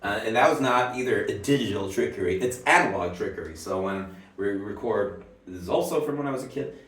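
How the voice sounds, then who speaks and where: Low-voiced man, native Swedish speaker, rambling musician chat, slightly off-mic in an echoey room. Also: fairly quick, a bit bright, recorded from a distance. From Finland. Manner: rambling, swears casually, laughs at himself. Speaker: musician and video creator. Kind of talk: casual commentary on old recordings.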